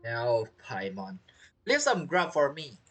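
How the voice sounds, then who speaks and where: Animated, chatty male game streamer. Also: medium pitch, takes it slowly. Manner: chatty, informal, reacting as he plays. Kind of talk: casual gameplay commentary. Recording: game music behind voice.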